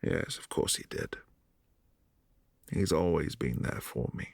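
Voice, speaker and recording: A man playing a brooding, possessive vampire prince in a boyfriend roleplay, speaking low and hushed and sometimes dropping to a whisper. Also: slow pace, gravelly, no echo, heavily acted. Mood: wistful.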